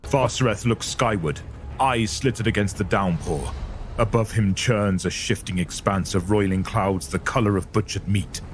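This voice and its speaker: A man reading dramatic scripted narration for a dark audio story. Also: lowish voice, moderate pace. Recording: narration over loud music.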